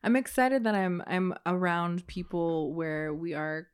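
A woman's voice: fairly low pitch, animated, measured pace, smooth. An American woman guest on a podcast, chatting casually with many "like" fillers. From United States.

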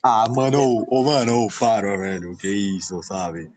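A man's voice: lowish voice, a little slow, over a noisy crowd. A man from São Paulo with a Brazilian accent, bantering casually as a podcast regular, slangy and teasing.

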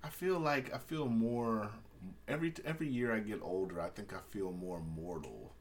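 A man's voice: on the low side, normal speed. A man in his late thirties chatting informally as a podcast co-host, asking questions in casual, slangy banter over slight background noise.

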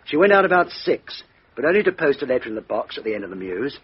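A respectful police sergeant. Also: male voice, medium pitch, big pitch swings, quick pace, breathy edge. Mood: reporting. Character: deferential young male police sergeant. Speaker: police officer. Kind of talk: 1950s radio drama acting. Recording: dull old radio broadcast.

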